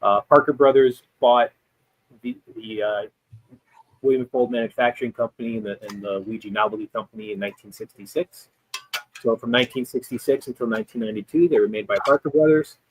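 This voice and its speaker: A man's voice: mid-range voice, steady tone, a little fast, a little hiss or hum, low-quality recording. A man appearing as a guest expert, explaining history in a conversational way with frequent ums and restarts. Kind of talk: explaining history in casual chat.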